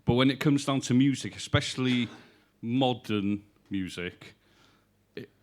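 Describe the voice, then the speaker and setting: A man whose first language is Welsh, speaking English as a panel guest and explaining step by step while pausing to search for words. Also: medium pitch. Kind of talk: casual panel chat.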